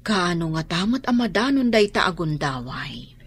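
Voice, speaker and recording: A woman's voice: lowish voice, big pitch swings, normal speed, slightly rough, heavily acted. A woman playing a plain-spoken, feisty middle-aged country wife in an Ilocano radio drama, with an Ilocano Filipino accent. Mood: gentle.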